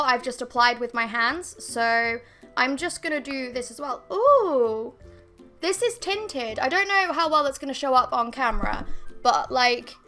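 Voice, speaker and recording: Casual beauty vlogger, twenties, high bright voice with a slight croak, rambling and self-deprecating. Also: female voice. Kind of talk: casual makeup review to camera. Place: bedroom with bookshelves, indoors. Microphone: fixed camera at desk.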